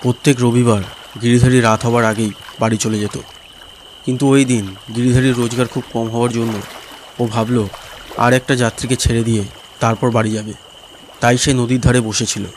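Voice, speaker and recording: A man's voice: mid-range voice, flat delivery, fairly quick, pauses often, slight background noise. A man narrating a scripted cartoon story as its storyteller.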